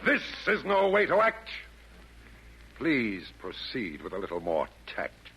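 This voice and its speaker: An actor playing a pompous Devil chairing a meeting of demons, theatrical and oratorical in a 1940s radio style. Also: male voice, mid-range voice, huge pitch swings, takes it slowly, muffled recording, a crowd in the background, full character voice. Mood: stern, exasperated. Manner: theatrical, oratorical, rhymed delivery. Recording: old 1940s radio broadcast.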